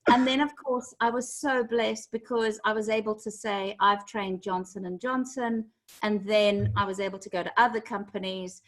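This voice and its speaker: Animated storytelling woman from Zimbabwe. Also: medium pitch, normal speed, poor audio. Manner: lively anecdotal storyteller, acts out quotes. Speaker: guest, corporate trainer. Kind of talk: storytelling interview answer.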